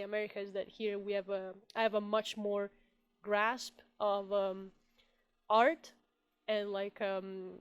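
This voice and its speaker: A woman in her twenties from Italy, speaking English with an Italian accent as a guest artist on a casual podcast call, blunt and quick to laugh, sometimes searching for words. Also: medium pitch, smooth. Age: 20s. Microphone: video call.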